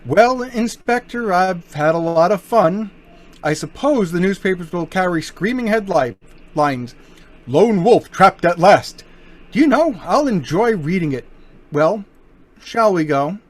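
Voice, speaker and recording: A man playing a suave, smug gentleman burglar. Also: high voice, lively intonation, slow pace. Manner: cool, teasing, unbothered. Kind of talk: community theater radio play.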